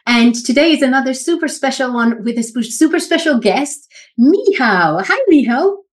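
A woman's voice: high voice, lively intonation, moderate pace. Enthusiastic woman podcast host. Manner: enthusiastic, rambling questions. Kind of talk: casual interview hosting.

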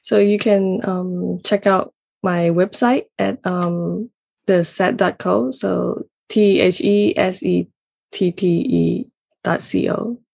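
A woman from Malaysia with a Malaysian accent, talking casually and haltingly as an interview guest. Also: lowish voice, steady tone, vocal fry, darkish tone, breathy edge, no echo. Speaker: podcast guest, consultant.

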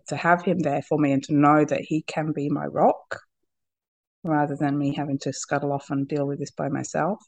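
Husky woman with a cold, laughing often. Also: low-pitched, no room sound. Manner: laughs often, halting restarts. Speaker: podcast guest. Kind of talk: candid personal conversation.

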